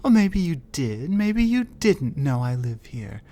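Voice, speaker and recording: A woman's voice: deep voice, sing-song, a little slow, warm tone. A woman voicing a huge, reclusive female troll in an ASMR audio roleplay, curt and commanding. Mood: cold, mocking. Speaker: voice actor.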